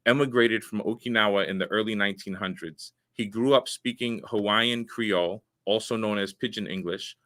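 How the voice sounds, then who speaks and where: Earnest male host in his forties, lowish voice with a sharp bright edge, measured delivery full of pauses. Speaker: show host, veteran. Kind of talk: hosting a live online talk show.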